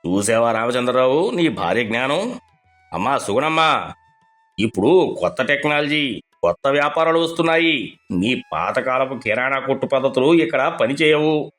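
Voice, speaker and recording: Casting: man doing smug moneylender pal for a cartoon, boastful and mocking, swinging pitch, faint music behind. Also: lively intonation, normal speed, fairly bright tone, lightly acted. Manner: boastful, sneering. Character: cocky, mocking moneylender friend, a boastful schemer. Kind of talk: Telugu animated moral story acting.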